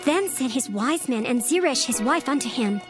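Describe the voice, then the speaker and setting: A woman narrating a dramatized scripture recording in a measured, solemn storytelling manner. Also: high-pitched, animated. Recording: narration over clear background music. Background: background music.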